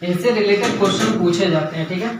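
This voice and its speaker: A man's voice: sits high, quick pace, background noise. A young man teaching a grammar lesson, repeating key points and often checking whether students follow. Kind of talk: classroom-style grammar lesson. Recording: echoey room, mic at a distance.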